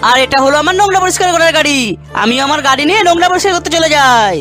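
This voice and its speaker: A woman's voice: high voice, animated, very fast. A woman voicing young characters in a kids' cartoon, speaking simply and emphatically. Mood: chirpy. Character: chirpy children and mothers in a kids' cartoon. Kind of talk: cartoon dialogue. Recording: music behind the voice. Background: cartoon music.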